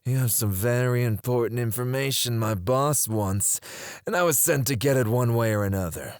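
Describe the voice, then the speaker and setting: A man playing a menacing, teasing kidnapper in an ASMR roleplay, drawling his taunts and dropping into hushed murmurs close to the microphone. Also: medium pitch, normal speed, no room sound, natural voice. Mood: cool, threatening. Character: menacing, teasing male kidnapper interrogating a captive. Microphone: close studio microphone.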